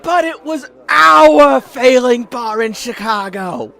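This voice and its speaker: A man's voice: very high voice, animated, takes it slowly, vocal fry, sharp tone, some chatter behind, voice pushed up, heavily acted. A man playing a theatrical, indignant bar regular in an audio drama, ranting bombastically and swearing.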